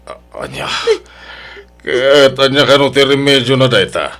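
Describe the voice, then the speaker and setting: A man's voice: medium pitch, animated, measured pace, a bit bright. A man with an Ilocano accent playing an evasive, penny-minded husband in a melodrama. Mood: startled, uneasy. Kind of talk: Ilocano radio-style melodrama acting.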